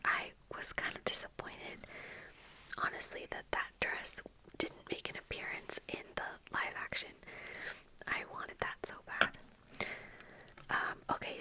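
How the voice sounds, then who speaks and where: A young woman speaks entirely in a breathy whisper, very close to the mic. Her delivery is slow and halting, like a casual chat while getting ready, and the whisper has a very bright, sharp edge. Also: poor audio. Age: young adult. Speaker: ASMR video creator. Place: small indoor room at home with bookshelves. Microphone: fluffy-covered microphone close in front, earphones on.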